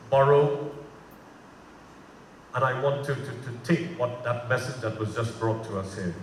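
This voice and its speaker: A man's voice: medium pitch, a bit bright. A man with a Trinidadian accent, a native Bhojpuri speaker, gives an impassioned public speech, emphatic and repeating phrases for effect. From Trinidad and Tobago. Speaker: guest speaker at a community event. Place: community centre hall. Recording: echoey hall, distant mic, faint noise.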